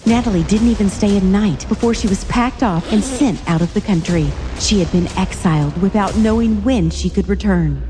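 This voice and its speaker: A woman's voice: mid-range voice, moderate pace, few pauses, long reverb tail, music in the background. A woman reading scripted narration for a dramatic story.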